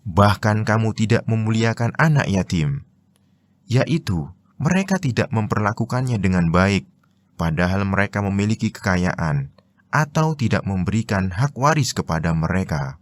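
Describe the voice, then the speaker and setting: A man's voice: on the low side, very animated, moderate pace. A man with an Indonesian accent reading religious commentary as formal scripted narration.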